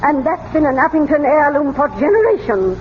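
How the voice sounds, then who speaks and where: A woman playing a haughty, genteel high-society matron in a 1930s radio comedy, speaking in an affected, fluttery way. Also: big pitch swings, a little fast. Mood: dismayed. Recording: noisy, dull 1930s radio broadcast.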